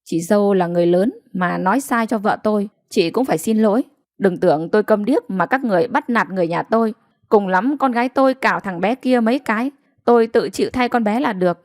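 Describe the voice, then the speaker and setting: A woman's voice: medium pitch, brisk, darkish tone, no room sound, studio quality, close to an everyday voice. A woman voicing the words of a wronged, stubborn husband in an audio story, aggrieved and insistent. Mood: stubborn indignant. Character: wronged, stubborn deaf-mute husband, interpreted aloud by wife.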